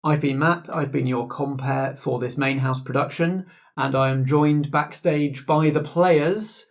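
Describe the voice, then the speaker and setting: A man hosts a tabletop game debrief as game master. His voice sits at a middle pitch as he guides the conversation. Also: steady tone, unhurried. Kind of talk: hosting an actual play debrief.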